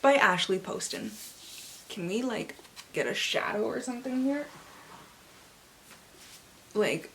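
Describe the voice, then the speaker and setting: A woman presenting a book haul vlog, excitable and theatrical, with dramatic emphasis as she reads. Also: on the high side, slow, pauses often, a little hiss or hum. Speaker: book reviewer and vlogger.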